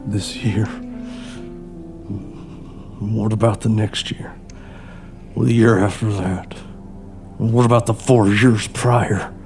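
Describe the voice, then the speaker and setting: A man's voice: lowish voice, flat delivery, slow, pauses often, music in the background. A man playing a weary, embittered, protective father of a sick child in an audio drama, intense and emotional. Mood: bitter, angry. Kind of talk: dramatic audio play acting.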